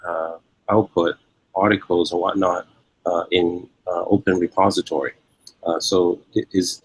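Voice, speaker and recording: Low-voiced man with a Hong Kong accent. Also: no room sound, poor audio. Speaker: academic interviewee. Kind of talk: reflective interview answers.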